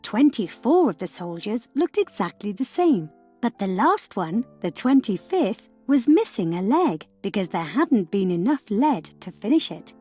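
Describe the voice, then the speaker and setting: A woman storyteller narrating a scripted fairy tale for children in a measured, expressive way. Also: mid-range voice, breathy edge, faint music behind.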